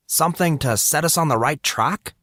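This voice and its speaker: A man voicing an excitable, nosy teenage boy with a pestering, dramatic manner in an audiobook. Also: a little high, moderate pace, no room sound, clean studio sound, voice pushed up. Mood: eager, prying. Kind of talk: audiobook character voice.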